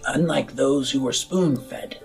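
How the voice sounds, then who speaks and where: A man playing a courteous, earnest politician campaigning for power in a roleplayed audio drama, speaking formally and deliberately. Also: mid-range voice, creaky. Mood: earnest, flattering. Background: music.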